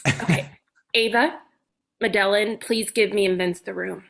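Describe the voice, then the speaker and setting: A woman playing an imperious, sharp-tongued older comedy star, snarky and commanding, in a comedy table read. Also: medium pitch, fairly even intonation, unhurried, gravelly. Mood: curt, dismissive.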